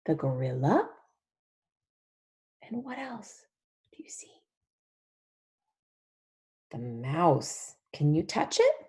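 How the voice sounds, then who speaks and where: A woman's voice: low-pitched, wildly swooping pitch, very slow, pauses often, dark tone. A woman leading a picture-book read-along for young children, talking in an exaggerated, sing-song way and drawing out key words.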